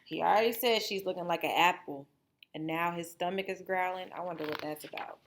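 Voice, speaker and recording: A woman's voice: on the low side, brisk, slight background noise. A woman reading a storybook aloud as a teacher would, expressively, with brief asides to her listeners.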